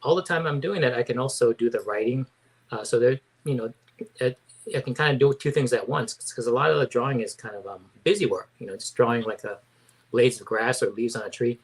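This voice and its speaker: Guest artist, man in his 50s, mid-pitched, hesitant, halting answers. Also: slightly rough. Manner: hesitant, many fillers and restarts. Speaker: comic book artist guest. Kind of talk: casual interview.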